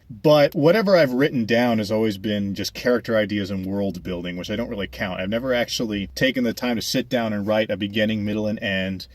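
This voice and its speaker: A man's voice: lowish voice, no echo. A young adult man talking casually over a speedpaint video, chatty and rambling with many fillers. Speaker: animator and vlogger.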